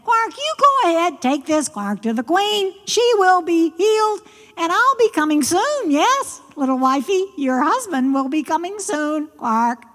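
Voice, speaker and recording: A high, shrill storybook frog acted out by a woman, ringing in a long reverb. He is a fussy, coy suitor who croaks "quark" between phrases. His folksy delivery swoops about, wheedling and demanding. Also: very animated, a little slow, a bit bright, full character voice. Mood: gleeful, doting. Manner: coy, folksy, nagging, repetitive.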